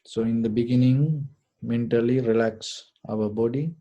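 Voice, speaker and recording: A man leading a guided meditation, giving short, deliberate instructions in a gentle, soothing manner. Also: mid-range voice, steady tone. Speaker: meditation teacher.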